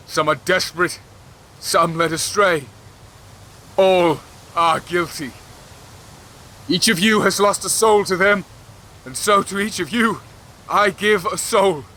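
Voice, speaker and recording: Man acting a sharp-voiced, grief-worn fantasy king. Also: high voice, heavily acted. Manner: commanding, deliberate, formal. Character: grieving, exhausted, merciless fantasy king. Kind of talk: fantasy audio drama acting.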